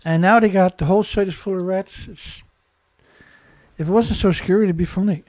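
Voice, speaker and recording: Guy with a stuffed-up nose ranting about the news, kinda high voice, dry close sound, lots of "right?" questions. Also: fairly even intonation, a little fast, a little mellow, no echo. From Canada. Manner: rambling, indignant, rhetorical questions. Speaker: host. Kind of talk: solo commentary on news.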